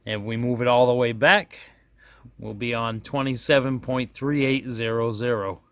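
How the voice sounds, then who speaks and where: Folksy guy doing an off the cuff product walkthrough, kinda rambly, down on himself, regular male pitch, dry sound. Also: steady tone, normal speed, fairly bright tone, clear, resonant tone, no room sound. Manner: folksy, self-deprecating, rambling with mid-thought corrections. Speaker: product reviewer demonstrating a radio. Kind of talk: casual hands-on demonstration, unscripted.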